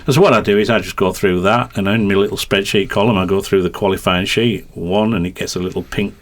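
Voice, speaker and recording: A man's voice: sits low, fairly even intonation, fast talker. A middle-aged man with a light Yorkshire twang, a racing commentator explaining at length in a rambling, hesitant way. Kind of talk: podcast conversation.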